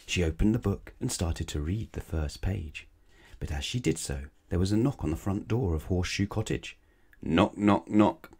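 A man's voice: low voice, expressive, moderate pace, a little mellow, breathy edge. A man reading a children's bedtime story aloud, dramatically, stretching and stressing words. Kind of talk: expressive read-aloud bedtime narration.

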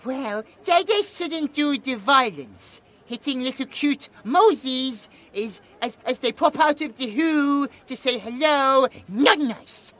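A man's voice: extremely high pitch, expressive, slow, few pauses, sharp tone, exaggerated voice. A British man voicing a childlike possessed young man who talks in broken baby-talk about himself in the third person, in an ASMR roleplay. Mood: childlike, excited.

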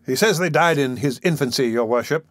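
A man's voice: medium pitch, a little fast, a bit bright, no room sound, studio quality, natural voice. A man voicing a timid, deferential court officer in an audiobook, speaking hesitantly.

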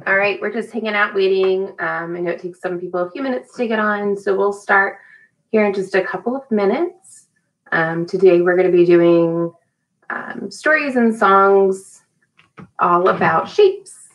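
A woman's voice: medium pitch, a little slow, low-quality recording. A woman in her thirties leading a live storytime for young children, encouraging and speaking to them directly as a teacher would. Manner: sing-song greetings to children by name. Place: small indoor room at home.

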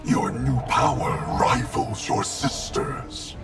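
Gravelly shadow wraith, haughty, slow deliberate threats, swooping pitch, loud music behind, video game villain. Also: male voice. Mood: cold, threatening. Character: menacing shadow wraith ninja clan leader, cold and arrogant. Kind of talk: video game character dialogue.

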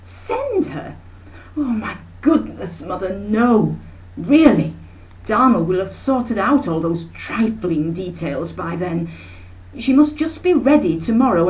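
A woman's voice: on the high side, big pitch swings, a little slow, a little mellow, far from the mic, voice pushed up, rough recording. A woman voicing a breezy, self-important middle-aged aunt in a story reading, gushing and pompous. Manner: gushing, pompous, talks in long runs.

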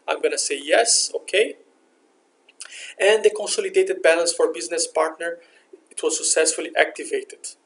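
A man narrating a software demonstration in a step-by-step, instructional way. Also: on the high side, normal speed, gravelly. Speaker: software demo presenter. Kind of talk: scripted software tutorial narration. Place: screen recording voice-over.

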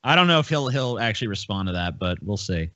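A man's voice: on the low side, very animated, fast talker, pushed and bright, lo-fi sound. A man hosting a podcast in casual banter, irreverent and profane. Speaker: podcast host.

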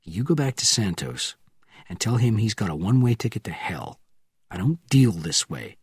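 A man reading an audiobook, voicing a tough male agent who talks in a terse, low way. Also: big pitch swings, moderate pace, breathy edge, no echo, studio quality, full character voice. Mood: hard.

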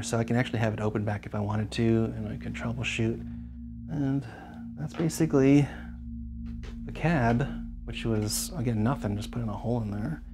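Enthusiastic, geeky man explaining a DIY build. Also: medium pitch. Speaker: DIY amp builder and host. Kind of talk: casual hands-on workshop walkthrough.

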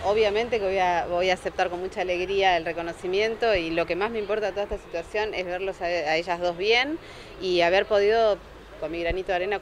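A woman in her forties with an Argentine accent, a surgical technologist speaking calmly in a street news interview, often restarting her words. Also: lowish voice. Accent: Argentine Spanish. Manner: calm, measured, with frequent restarts. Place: city sidewalk outdoors. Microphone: handheld reporter microphone. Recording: faint street noise behind.

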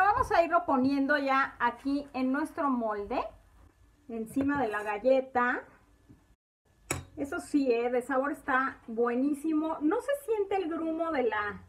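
A woman's voice: high voice, a bit bright. A woman, hosting a home cooking tutorial in her kitchen and chatting viewers through each step. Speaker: cooking channel host.

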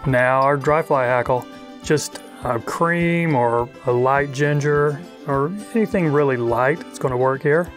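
Relaxed middle-aged man teaching fly tying. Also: medium pitch, measured pace, faint music behind. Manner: relaxed, chatty, thinking aloud. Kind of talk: casual instructional narration. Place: outdoors on a wide river.

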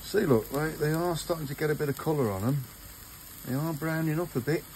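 A man's voice: fairly high pitch, brisk, darkish tone, a little hiss or hum, poor audio. An older man hosting a casual outdoor cooking vlog, chatting to viewers as he cooks at a garden grill. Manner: chatty, talks to viewers while cooking, says "look" often.

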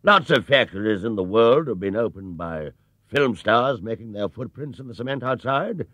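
A man voicing a pompous, flustered English gentleman factory owner in a storybook, booming and hesitant like a public speaker. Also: animated, moderate pace, no echo, muffled recording, lightly acted. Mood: blustering, jovial.

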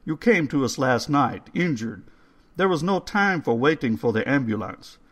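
A man voicing a calm, courteous old male undertaker who speaks in formal, slightly stilted phrases. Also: medium pitch, normal speed, pitched above the performer's own voice. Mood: soothing.

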